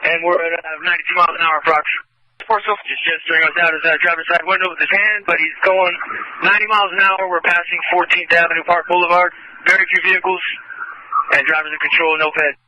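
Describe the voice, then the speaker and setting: Male officer, piercingly bright, raised pitch, terse repeated status calls through a police scanner radio. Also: little pitch movement, fast, a little hiss or hum, poor audio. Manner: terse, repetitive status updates. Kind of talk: police pursuit radio reporting.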